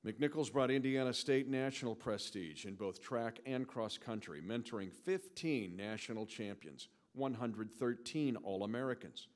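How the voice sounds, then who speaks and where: A man reading scripted, formal award introductions as a ceremony announcer, in a measured presenting manner. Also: mid-range voice.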